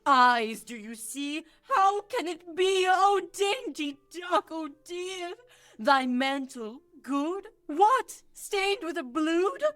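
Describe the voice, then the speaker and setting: A young woman playing a melodramatic young male lover in a radio play of Shakespeare, declaiming in an overwrought, drawn-out way. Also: high voice, fairly bright tone, heavily acted. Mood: anguished. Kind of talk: 1940s radio play acting of Shakespeare verse.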